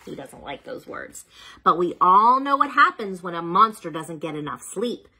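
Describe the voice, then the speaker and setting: Woman reading bedtime stories, very animated delivery. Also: lowish voice, moderate pace, slightly rough, rough recording. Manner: playful, dramatic emphasis, rhetorical questions to the listener. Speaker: children's storyteller reading picture books aloud. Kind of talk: expressive bedtime read-aloud with asides to young children.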